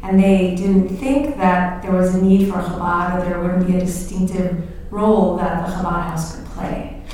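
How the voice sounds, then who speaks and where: A woman recounts her experiences in a live interview. She speaks in a measured way, pausing often with "um.". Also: on the low side, fairly even intonation, warm tone, a little hiss or hum. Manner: measured, with pauses and fillers. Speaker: co-host and Chabad emissary. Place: live recording before an audience. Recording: echoey room from far off.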